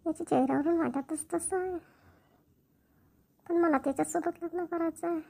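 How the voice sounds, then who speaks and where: Woman playing a high-voiced lovestruck young bride-to-be. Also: fairly even intonation, moderate pace, vocal fry, a little mellow. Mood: wistful, worried. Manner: wistful, musing. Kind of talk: amateur cartoon family comedy dialogue.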